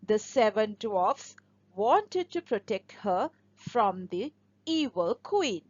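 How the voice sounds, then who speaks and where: A woman narrating a scripted fairy tale for English learners in a deliberate, expressive storybook manner. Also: medium pitch, no echo, dull audio.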